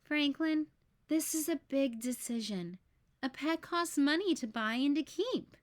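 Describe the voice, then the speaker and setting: A cautious, patient parent from a picture book, read aloud by a woman. The voice is fairly high and very unhurried, measured and often questioning. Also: animated. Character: cautious, patient storybook parents of a young child.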